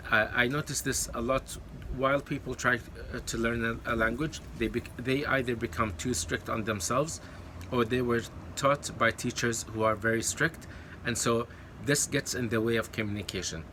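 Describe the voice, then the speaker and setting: A man's voice: medium pitch, steady tone, moderate pace, sharp tone, a little hiss or hum. A man from Kuwait whose first language is Arabic, giving casual encouraging advice to camera, with many fillers and self-corrections. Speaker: YouTube creator.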